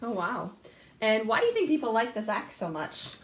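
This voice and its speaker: A woman's voice: a little high, wildly swooping pitch, normal speed, a little hiss or hum. A young woman hosting a scripted mock talk-show interview in an upbeat, read-from-script manner. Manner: upbeat, reads questions from a script. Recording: echoey room.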